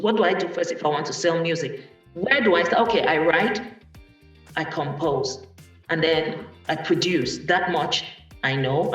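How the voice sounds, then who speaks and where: A speaker shares a personal journey at an event, thinking aloud with pauses and restarts. The voice is picked up from a distance in a big, very reverberant room. Faint music sits in the background. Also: mid-range voice. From Cameroon. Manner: thoughtful, many pauses and restarts. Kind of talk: personal account at a panel event.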